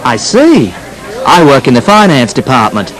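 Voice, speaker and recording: A man's voice: mid-range voice, very animated, moderate pace, a bit bright, some chatter behind, low-quality recording. A polite businessman. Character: male businessman at a party, polite. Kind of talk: scripted textbook dialogue.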